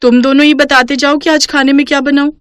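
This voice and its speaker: A woman's voice: high voice, flat delivery, fast, a bit bright, no room sound, studio quality, pitched above the performer's own voice. A woman narrator voicing a harried, dutiful middle-aged housewife in a family story, earnest and plaintive. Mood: questioning.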